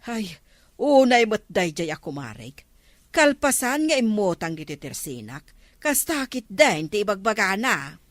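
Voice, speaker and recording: Woman as older Ilocano village storekeeper, comedy drama; slow, mid-pitched, expressive, dry sound. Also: no echo. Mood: offended.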